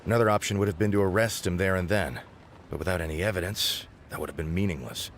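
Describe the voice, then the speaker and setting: A man's voice: deep voice, fast talker, a little hiss or hum. An actor playing a dutiful male subordinate in a game, reporting earnestly and methodically to his superior. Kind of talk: game dialogue.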